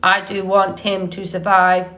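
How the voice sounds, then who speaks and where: A woman's voice: medium pitch, monotone, fairly bright tone, natural voice. A woman playing a friendly female robot in an audiobook story, speaking with formal, earnest politeness. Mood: polite, unsure. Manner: friendly, measured, formal without contractions.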